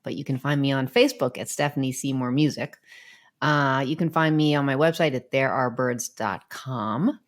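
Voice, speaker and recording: A woman with a strikingly deep voice co-hosts a podcast. She talks in a casual, conversational style, her pitch sitting far below most women's. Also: moderate pace. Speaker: podcast co-host, musician.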